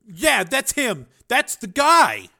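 Battered kid, played by a male narrator: pitched up, nervous, polite, halting storybook character. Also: expressive, a bit bright. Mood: bitter, hesitant. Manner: hesitant, stumbling over words. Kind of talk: audiobook character voice.

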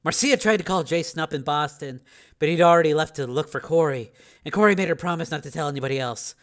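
Grave, sympathetic woman done by a male reader: lightly raised pitch, measured thriller storytelling. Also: close to an everyday voice. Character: serious, sympathetic woman relaying grim news.

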